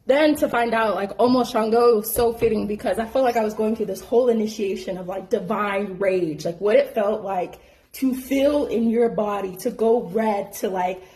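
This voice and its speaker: A woman's voice: mid-range voice, normal speed, low-quality recording. Slangy woman telling a personal story. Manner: slangy, frequent "like" fillers, animated. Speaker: host.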